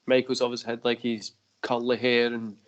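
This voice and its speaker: A man's voice: medium pitch, flat delivery, moderate pace, lo-fi sound. A Scottish man with a Glasgow accent, hosting a casual wrestling podcast, rambling and laughing as he talks. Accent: Glaswegian Scottish. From Scotland. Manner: rambling, laughs often, swears. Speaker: podcast host.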